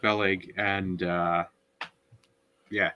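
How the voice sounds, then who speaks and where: A man recapping a story in a casual book discussion, often halting as he searches for words. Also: sits low, little pitch movement, lo-fi sound. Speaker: podcast co-host.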